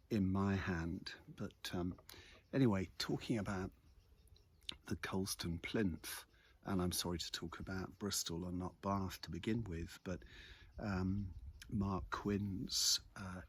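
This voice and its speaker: Local vlogger: older male, low register, unhurried and hesitant, reflective casual chat with a husky edge. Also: a little hiss or hum. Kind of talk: casual monologue about local events. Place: outdoors in a quiet churchyard.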